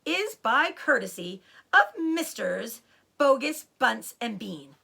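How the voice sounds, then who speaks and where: A woman's voice: fairly high pitch, sing-song, slow pace, gravelly, sharp tone, slightly rough, exaggerated voice. A woman voicing a jubilant, proud male fox giving rousing speeches in a children's storybook. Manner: rousing, declamatory.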